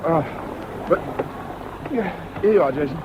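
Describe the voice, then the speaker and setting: An actor playing a mild, reticent English gentleman, a loyal friend, in a 1970s British radio drama. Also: on the high side, lively intonation, unhurried, background noise. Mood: cheerful, polite. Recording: dull old radio recording, music behind.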